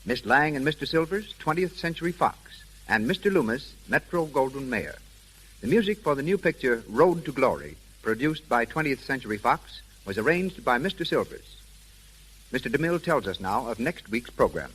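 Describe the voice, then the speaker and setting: A man's voice: medium pitch, sing-song, normal speed, fairly bright tone, husky, rough recording. An American man announcing formally from a script on an old 1930s radio broadcast.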